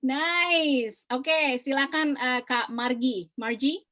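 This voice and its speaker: A woman's voice: high voice, takes it slowly, fairly bright tone. A woman hosting a video call, cheerfully calling on each guest by name. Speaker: meeting host.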